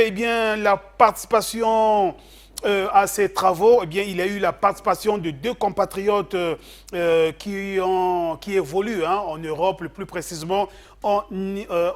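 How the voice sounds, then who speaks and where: A man reporting a sports news segment in a formal tone, with hesitations and fillers. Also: high voice.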